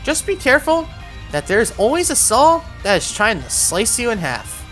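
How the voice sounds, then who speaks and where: A woman's voice: fairly high pitch, big pitch swings, moderate pace, fairly bright tone, faint music behind. A woman giving an instructional voiced game walkthrough, guiding the player step by step with words of caution. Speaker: video game guide host.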